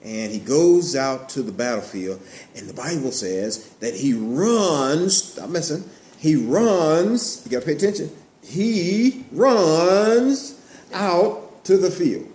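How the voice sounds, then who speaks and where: A man with a fairly high, very lively voice tells Bible stories to children. He uses simple words, repeats phrases for emphasis and puts questions to his listeners. The room echoes and there is clear noise behind him. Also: slow pace. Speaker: storyteller, puppet show host. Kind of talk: animated children's Bible storytelling, asking questions. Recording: roomy echo, clear background noise.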